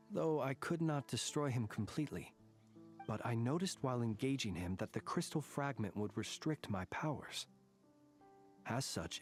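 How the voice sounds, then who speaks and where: An actor voicing a formal, world-weary soldier-scholar who talks in a wordy, dryly wry way. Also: male voice, on the low side, close to an everyday voice. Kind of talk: game voice acting.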